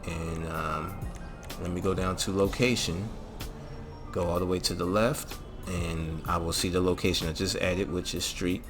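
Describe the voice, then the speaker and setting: Deep-voiced man, casual gaming tutorial host. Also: steady tone, measured pace. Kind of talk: casual video game mod tutorial commentary. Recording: music behind the voice. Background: music.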